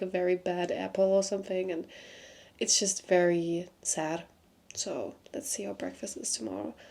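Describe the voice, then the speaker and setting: A young woman with a congested voice, talking candidly to camera from a small hotel room, sometimes dropping to a soft near-whisper. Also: medium pitch, creaky. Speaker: vlogger, consultant. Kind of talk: casual talk to camera.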